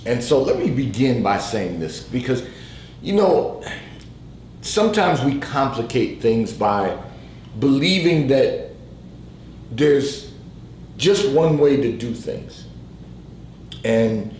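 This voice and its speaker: Man, hoarse, throat-clearing, unhurried devotional advice, roomy echo. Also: medium pitch, darkish tone, a little hiss or hum. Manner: slow, repetitive preaching cadence. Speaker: host of a faith advice livestream.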